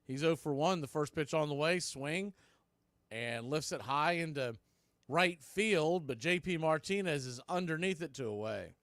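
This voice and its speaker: A man with a slightly high-pitched voice gives live baseball play-by-play as a radio announcer. He moves between clipped, punchy calls and conversational asides. The sound is very dry, with no room around the voice. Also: lively intonation, unhurried, fairly bright tone, no room sound.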